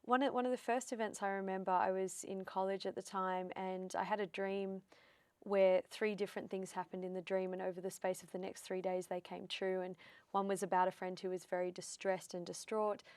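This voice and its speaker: A woman's voice: medium pitch, flat delivery, brisk, a little mellow, dry recording. A woman in her thirties from Australia with an Australian accent, a psychic medium telling her story in a casual chat and acting out the lines she quotes. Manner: chatty storyteller, acts out quotes.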